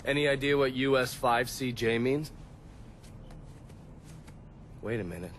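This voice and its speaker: An actor playing a shrewd, sardonic intelligence operative in a video game, dry and matter-of-fact. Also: male voice, medium pitch, brisk, a little hiss or hum, close to an everyday voice. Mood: wry, intrigued. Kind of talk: cinematic game dialogue, part recounted testimony.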